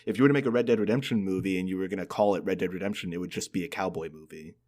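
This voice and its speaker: A man's voice: low-pitched, rapid-fire, a little mellow. A man co-hosting a podcast, chatting informally and giving his opinions on games. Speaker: podcast co-host. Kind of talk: casual podcast chat about games.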